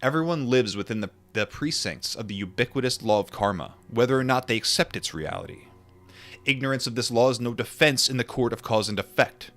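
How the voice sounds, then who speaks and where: A man reading a book aloud and adding informal asides, in a measured, deliberate manner. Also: mid-range voice, a bit bright, soft background music. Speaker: audiobook reader and commentator.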